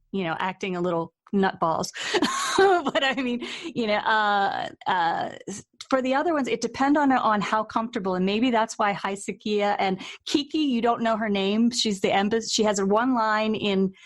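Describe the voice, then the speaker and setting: A woman with a mid-range voice talking informally as an interview host. She is chatty and a bit giggly, speaking off the cuff in a relaxed conversational style. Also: medium pitch. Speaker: interview host, author and voice-over performer. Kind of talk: casual interview chat. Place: remote video call from another country.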